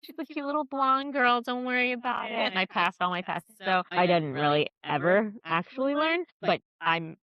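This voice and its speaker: A woman's voice: mid-range voice, animated, moderate pace, hardly any pauses, highs cut off. A woman from South Florida in a casual, conversational chat as a podcast co-host.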